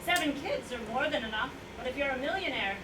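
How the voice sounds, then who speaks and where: A woman playing a brisk, opinionated character in a staged reading, matter-of-fact and self-assured. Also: high voice, lively intonation. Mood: brisk, unsympathetic. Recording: echoey, distant mic, noisy room.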